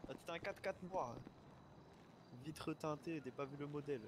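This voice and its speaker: Un homme qui joue un policier dans un jeu de rôle en ligne, au parler familier, hésitant et répétitif. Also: male voice, a little high, normal speed. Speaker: roleplayer playing a police officer. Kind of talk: casual improvised game roleplay. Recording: noisy game audio with sirens.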